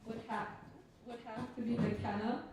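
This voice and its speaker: High-voiced teenage girl, very echoey room. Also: little pitch movement, measured pace. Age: teens. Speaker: high school basketball player, guest. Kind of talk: casual interview chat. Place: pub stage, live interview. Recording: echoey pub, distant mic, loud background noise.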